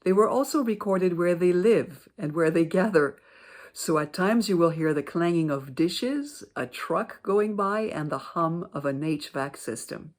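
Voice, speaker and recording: A woman introducing a program as its director, in a calm, welcoming, scripted way. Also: lowish voice, measured pace. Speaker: director and producer.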